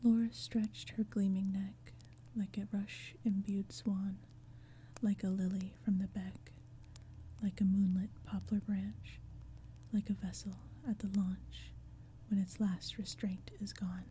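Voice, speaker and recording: A woman reading poetry as ASMR in a breathy whisper, slowly and gently, with measured phrasing. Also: medium pitch. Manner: slow, gentle, measured storytelling. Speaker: ASMR reader. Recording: steady background ambience, crackling fire, forest sounds. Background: crackling fire and forest sounds.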